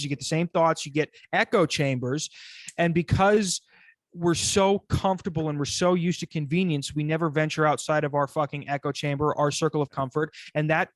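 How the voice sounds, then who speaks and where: A man talks on a casual podcast with a voice a little higher than most. He rants, swears freely and circles back on his own phrases. The sound is dry and close. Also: no room sound. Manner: rants with profanity, repeats himself. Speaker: podcast co-host.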